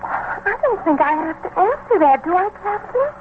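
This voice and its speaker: A woman's voice: extremely high pitch, sing-song, normal speed. An actress playing a wary, easily offended American woman in her thirties, a robbery victim, in an old-time radio drama. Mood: resentful. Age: 30s. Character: guarded, resentful single woman, a robbery victim being questioned. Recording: tinny, noisy vintage radio recording.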